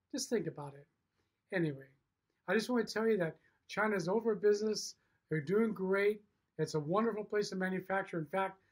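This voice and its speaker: A middle-aged man giving a promotional message to camera as a business owner and host, emphatic, in short punchy sentences. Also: high-pitched. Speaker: factory owner and show host. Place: indoor room with wood-block walls.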